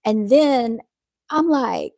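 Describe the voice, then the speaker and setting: A woman with a soft-spoken, soothing voice who talks in a calm, unhurried way, hosting a casual live chat with her viewers. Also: a little high, creaky, no room sound, studio quality. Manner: calm and unhurried, with fillers and restarts. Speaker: live-stream host reading viewer comments.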